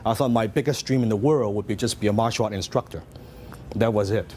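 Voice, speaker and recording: A man's voice: on the low side, fast talker, a little mellow, a little hiss or hum. A middle-aged man from Hong Kong with a Hong Kong Cantonese accent, giving a motivational seminar talk and telling his story with lots of questions to the audience. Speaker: business speaker.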